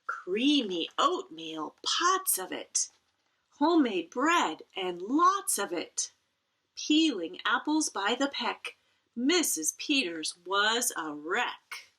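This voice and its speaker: A woman reading a picture book aloud to children in an expressive style, with dramatic emphasis and playful asides. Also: a little high, slow.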